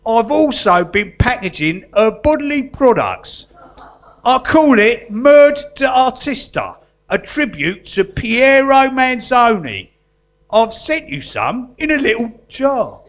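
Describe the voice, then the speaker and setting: A man acting as an earnest artist stuck in prison, with a high, swooping voice. He stammers and hesitates, fretful and coaxing, in the manner of live stage comedy. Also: very animated, takes it slowly, a bit bright, full character voice. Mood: pleased, eager. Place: live performance venue.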